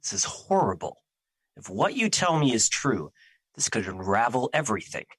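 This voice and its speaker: A man's voice: mid-range voice, big pitch swings, normal speed, a bit bright, husky, no echo. A man acting in an audio drama as a grave, brooding personified emotion who guards life, speaking in formal theatrical diction. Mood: alarmed, resolute.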